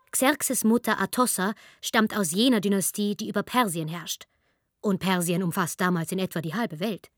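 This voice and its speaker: A woman's voice: medium pitch, a bit bright, close to an everyday voice. An actress playing a chatty, wry female storyteller who narrates ancient history in a colloquial, digressive way for a comic radio play.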